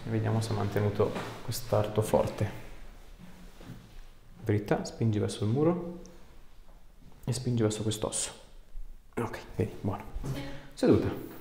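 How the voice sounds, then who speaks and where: A man's voice: on the low side, a little slow, creaky, long pauses, dark tone. A man in his thirties, an osteopath, giving short encouraging instructions and chatting casually with a patient. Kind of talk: hands-on treatment instructions and casual chat with patient. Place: small clinic treatment room, indoors. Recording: echoey treatment room, faint background noise.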